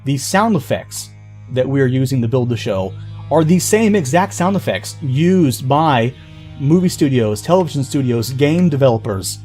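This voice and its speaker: Man pitching his creative project. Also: animated, moderate pace, darkish tone, faint music behind. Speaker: creator and writer of an audio drama. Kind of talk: informal fundraiser pitch.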